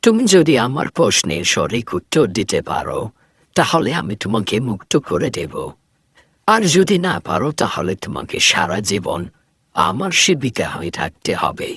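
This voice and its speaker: Stern old sage for a cartoon , a man voicing him: husky, low, lively pitch, dry studio. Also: moderate pace, no echo, heavily acted. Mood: solemn. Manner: commanding, testing. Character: stern old male sage, enchanted prince in disguise.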